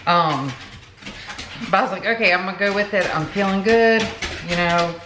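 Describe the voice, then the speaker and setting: A woman's voice: medium pitch, moderate pace. A woman in her late forties, chatting casually to camera as a vlogger, rambling with many fillers and asides. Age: 40s. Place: home room. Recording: echoey room with background noise.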